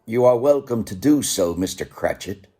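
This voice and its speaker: An actor playing a cold, miserly old business owner in a radio play, stern and formally polite with sarcastic menace. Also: male voice, lowish voice, animated, moderate pace, dark tone. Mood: coldly threatening.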